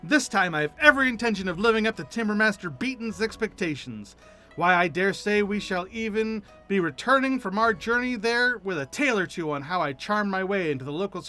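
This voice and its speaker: A man's voice: high-pitched, pushed and bright, full character voice. Man voicing an eager, effusive young carpenter apprentice. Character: eager, chatty young carpenter apprentice who loves food. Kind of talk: game dialogue read aloud.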